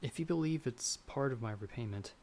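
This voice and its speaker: A man's voice: medium pitch, lively intonation, a little fast, a little mellow, lightly acted. Timid young farmer lad, acted by a man, noisy ambience. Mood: nervous, polite. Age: young adult. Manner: hesitant, polite, slightly formal. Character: timid, polite young male farmer traveler, easily frightened. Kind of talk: ASMR fantasy roleplay, storytelling to a listener. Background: stormy night ambience.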